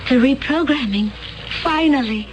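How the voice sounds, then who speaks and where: High-voiced otherworldly alien woman, woman acting it, flat measured way of talking, old radio play. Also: lo-fi sound, close to an everyday voice. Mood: serene. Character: calm alien woman from another planet, eerie. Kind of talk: 1970s radio drama acting.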